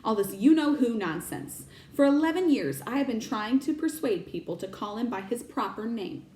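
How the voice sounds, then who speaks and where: A woman voicing a calm, kindly old male wizard from a storybook, measured and gently whimsical, in an echoey room. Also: fairly high pitch, slight background noise, natural voice. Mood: genial, calm.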